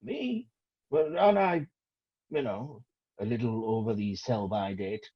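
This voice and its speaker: A man playing a cranky, boastful ageing British actor in a script table read, with a husky voice and indignant exclamations. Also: lowish voice, expressive, unhurried, highs cut off. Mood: flustered.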